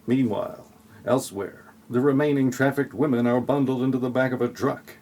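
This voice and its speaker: A man's voice: mid-range voice, fairly even intonation, fairly quick, darkish tone. A man reading scripted comic narration for an audio play in a wry, flippant way.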